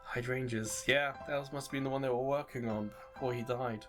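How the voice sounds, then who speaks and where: Guy doing chill game commentary for his channel, kinda musing and chatty, medium pitch. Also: fairly even intonation, a little fast, over music. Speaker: gaming YouTuber host. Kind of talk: casual let's-play commentary.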